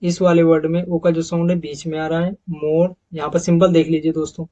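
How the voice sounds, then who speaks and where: An Indian man with a slightly high voice teaches English pronunciation over a slide deck, addressing viewers as friends. He says each example word several times in a row. The recording is dull and lo-fi. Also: level tone, dark tone. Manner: repeats model words slowly, addresses viewers as friends. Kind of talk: phonetics lesson over slides.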